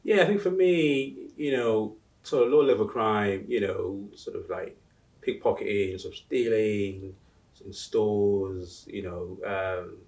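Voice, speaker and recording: A man in his forties from Uganda, answering interview questions in a halting way, with many restarts and fillers. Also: fairly low pitch, a little mellow, far from the mic. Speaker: interview guest. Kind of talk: casual interview talk.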